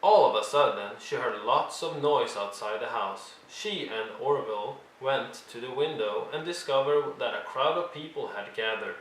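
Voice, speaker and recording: A man narrating a picture book for young children in an expressive read-along style, with deliberate, dramatic emphasis. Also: mid-range voice. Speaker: storyteller reading a picture book aloud. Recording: roomy echo, faint background noise.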